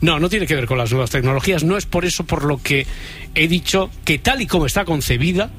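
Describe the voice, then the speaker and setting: A man hosting a radio phone-in riddle game, relaxed and teasing, thinking aloud as he talks. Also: a little high, brisk. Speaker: radio host running a riddle game with callers.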